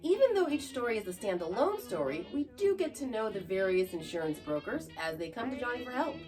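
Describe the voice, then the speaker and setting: A woman's voice: on the high side, sing-song, fairly quick. A woman hosting a podcast, reading scripted narration with chatty asides. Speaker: podcast host. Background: music.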